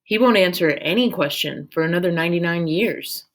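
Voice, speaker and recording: Woman as a gentle, resolute young girl. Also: lowish voice, a little fast, natural voice. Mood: quietly resigned.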